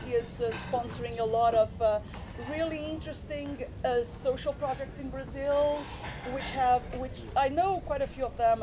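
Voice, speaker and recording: A woman's voice: high voice, background noise. A woman from Portugal speaking up from the audience at a public forum, asking an earnest, academic question with many fillers and restarts.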